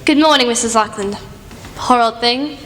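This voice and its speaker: A woman's voice: a little high, moderate pace, a bit bright, slight background noise, natural voice. A woman playing a practical, sympathetic doctor and detective's companion in a radio play, polite and conversational. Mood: concerned. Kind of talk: amateur radio play acting. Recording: echoey hall, faint music.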